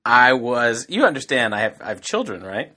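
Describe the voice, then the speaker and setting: Bright-voiced man bantering defensively. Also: medium pitch, sing-song, normal speed. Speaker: podcast co-host. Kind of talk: casual comedic chat.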